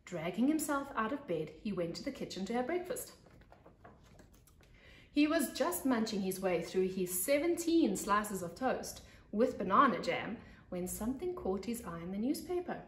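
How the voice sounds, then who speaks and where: A South African woman in her thirties reading a children's story with expressive, deliberate emphasis, like a teacher at storytime. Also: medium pitch. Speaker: pre-primary teacher. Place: living room on a couch by large windows. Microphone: camera a couple of metres away. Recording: echoey room, camera mic.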